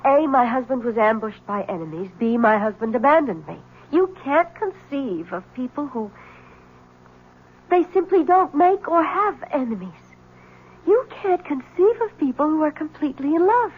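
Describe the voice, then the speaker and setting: A woman's voice: high voice, lively intonation, moderate pace. A woman acting a proud, distraught, devoted wife in a 1970s radio drama, with emphatic, precise, theatrical delivery. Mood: earnest, defiant. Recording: muffled old radio broadcast.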